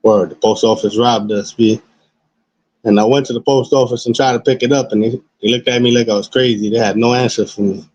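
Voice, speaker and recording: Raspy laid-back New man. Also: mid-range voice, fairly even intonation, brisk, a little mellow, no room sound. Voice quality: raspy smoker's voice. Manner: laid-back, rambling, profane. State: high. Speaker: livestreamer. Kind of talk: casual live-stream chat. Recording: dull lo-fi stream audio.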